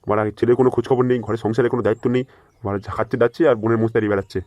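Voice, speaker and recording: Man voicing a rapid-fire, fretful grandpa. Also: medium pitch, close to an everyday voice. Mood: annoyed, worried. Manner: scolding, blunt, excitable. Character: fretful, foul-mouthed grandfather hunting his missing grandson. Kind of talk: amateur comedy cartoon voice acting. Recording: noisy home-made cartoon dub.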